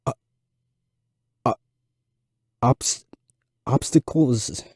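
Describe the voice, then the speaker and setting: A man's voice: medium pitch, extremely slow, a little mellow, slightly rough, no echo, clean studio sound. A man voicing a nervous fourth-grade boy with a severe stutter, repeating sounds and words. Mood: anxious, struggling.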